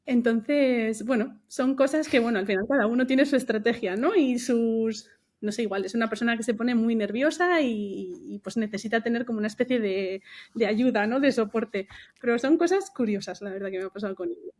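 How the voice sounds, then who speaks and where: Higher-voiced woman chatting. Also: a little fast, a little mellow. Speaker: podcast guest, recruiter. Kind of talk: casual conversational anecdotes.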